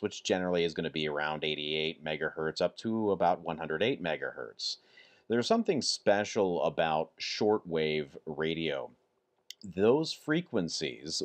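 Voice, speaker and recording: A man hosting a hobby radio channel, giving an informal, measured, instructive explanation. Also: deep voice. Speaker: host of a ham radio channel.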